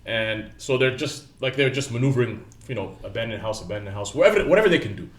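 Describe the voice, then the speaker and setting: Fast-talking man telling a story. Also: mid-range voice, lively intonation, roomy sound. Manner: rambling, restarts, many "you know what I mean". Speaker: co-host. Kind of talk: casual podcast storytelling.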